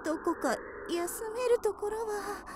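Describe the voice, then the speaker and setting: A woman playing a tiny, gentle young girl who is timid and polite, in a radio drama. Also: extremely high pitch, a little slow, background noise. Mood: weary, pleading.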